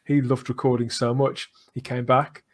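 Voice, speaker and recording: A man's voice: medium pitch, little pitch movement, normal speed. Man hosting a casual, enthusiastic podcast. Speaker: podcast host.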